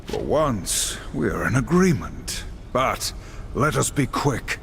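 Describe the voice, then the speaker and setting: A man's voice: mid-range voice, very animated, slow, vocal fry, background noise, full character voice. An actor playing a stern, solemn horseman warrior in a video game, speaking in a clipped, commanding way. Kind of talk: dramatic video game cutscene acting.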